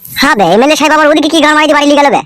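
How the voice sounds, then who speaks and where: গ্রামবাংলার টানে একজন পুরুষ কার্টুনে এক মুখখারাপ, ফন্দিবাজ, খোঁচা-মারা চ্যালার গলা দিচ্ছে।. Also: male voice, very high voice, very fast, fairly bright tone, no room sound, clean studio sound, faint music behind. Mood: scheming. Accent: rural West Bengali. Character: cheeky, scheming henchman, crude and foul-mouthed. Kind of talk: amateur comedy cartoon dub.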